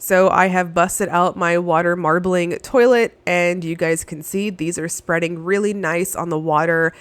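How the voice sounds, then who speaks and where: A woman with a somewhat low voice, nasal and congested from being sick, narrates a nail polish review. She gushes warmly about each shade, dotting her talk with "uh" and "um". The recording is dry and close, with no room sound. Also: steady tone, measured pace, clean tone, no room sound. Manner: enthusiastic, gushing, with frequent fillers. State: stuffy, under the weather. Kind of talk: voice-over product review.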